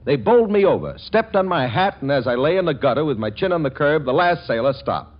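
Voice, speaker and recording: Male vintage radio pitchman, chummy and emphatic, highish lively voice, muffled old recording. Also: a little fast. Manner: chummy, emphatic sales patter. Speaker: radio announcer. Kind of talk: scripted 1940s radio commercial announcing. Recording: muffled 1940s radio recording, faint music.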